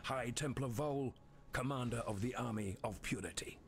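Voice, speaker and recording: An actor playing a stern, pompous templar commander in a video game, making a grave formal proclamation. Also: male voice, mid-range voice, noisy background, close to an everyday voice. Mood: stern, righteous. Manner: grave, measured, declamatory.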